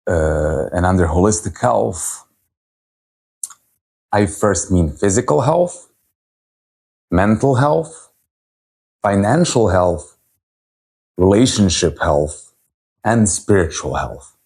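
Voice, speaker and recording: Man in his 30s, health coach, low voice, slow earnest delivery full of pauses. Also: sing-song, gravelly, darkish tone, husky. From Serbia. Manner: earnest, unhurried, with frequent pauses and fillers. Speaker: holistic health coach and speaker. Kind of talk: personal story talk at a virtual event.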